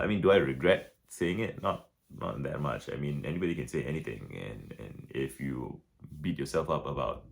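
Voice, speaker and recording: A man talking through his thoughts in a casual reflective vlog, with a deep relaxed voice, many restarts and fillers. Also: gravelly. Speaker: vlogger.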